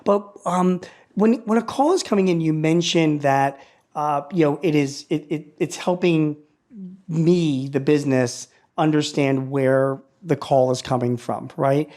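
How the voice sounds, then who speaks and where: A man hosting a business podcast, asking questions in a halting way with many restarts. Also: on the high side, darkish tone. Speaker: podcast host. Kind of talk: interview questions.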